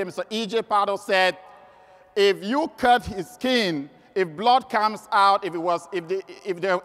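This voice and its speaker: A man's voice: high-pitched, fairly bright tone. A man with a Cameroonian accent giving an emphatic motivational business training speech, repeating words for stress. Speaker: sales trainer and motivational speaker. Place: large indoor event hall. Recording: echoey hall, long reverb.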